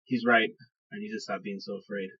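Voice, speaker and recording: Guy playing a scared young son of a chief in dnd, pleading and earnest, husky, slightly muffled. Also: on the low side, steady tone, brisk, pushed and bright, heavily acted. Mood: ashamed.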